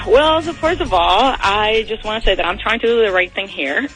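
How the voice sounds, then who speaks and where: A woman's voice: fairly high pitch, expressive, normal speed, over music, rough recording. Hesitant young woman calling a radio show. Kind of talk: casual confessional storytelling.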